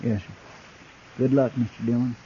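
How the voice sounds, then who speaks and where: A man's voice: mid-range voice, little pitch movement, moderate pace, dark tone, a little hiss or hum, natural voice. A muffled vintage radio recording features an actor as a loyal frontier deputy. His mid-range voice is earnest and deferential, full of concern for the boss. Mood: worried, dutiful. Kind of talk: 1950s radio western acting.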